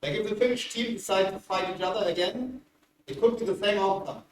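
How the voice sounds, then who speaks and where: Man, co-commentator, slightly high voice, roomy echo, off-mic sound. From Bavaria, Germany. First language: German. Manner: measured, analytical, easygoing. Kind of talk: live game commentary. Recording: roomy, distant mic with hiss.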